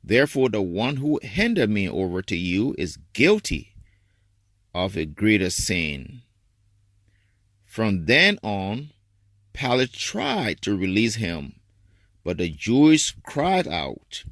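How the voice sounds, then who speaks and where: A man giving a solemn, dramatic scripture reading with deliberate, expressive phrasing. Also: lowish voice, no echo, studio quality. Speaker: scripture reader.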